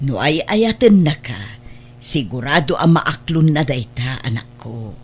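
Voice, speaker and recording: Radio drama grandmother: older, affectionate, husky, very animated intonation. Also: low-pitched, measured pace, exaggerated voice. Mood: gentle concern. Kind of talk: Ilocano radio drama acting.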